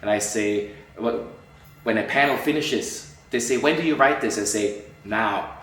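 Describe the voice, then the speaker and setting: A middle-aged man from Austria, an entertainment journalist answering interview questions, animated and acting out quoted colleagues, with frequent restarts. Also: fairly low pitch, moderate pace, a little hiss or hum. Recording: echoey room, voice a bit far from mic.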